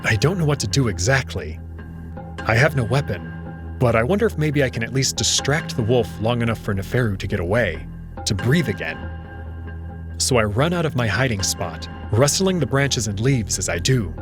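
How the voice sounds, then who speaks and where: A man's voice: mid-range voice, big pitch swings, normal speed, over music. A man narrating a scripted story in the first person, in a dramatic reading style.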